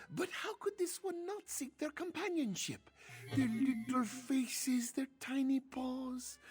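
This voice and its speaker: A woman's voice: on the high side, darkish tone, breathy edge, full character voice. A woman voicing a doting, anxious Khajiit cat-person father in a fantasy game roleplay, crooning and speaking of himself in the third person.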